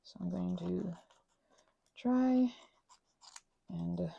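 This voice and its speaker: A woman making an ASMR craft video, rambling softly with whispered asides, little exclamations and meandering self-interrupting tangents. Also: lowish voice, very slow, gravelly, slightly rough, no room sound, muffled recording. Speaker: ASMR creator.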